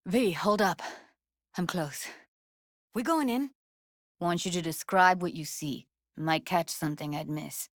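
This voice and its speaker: A tough young cyberpunk techie, with a slightly low voice in a dry, close recording. She talks in clipped street slang and swears freely, blunt on the surface and tender underneath, in a naturalistic video game style. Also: a little slow, pauses often, no room sound. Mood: urgent, focused.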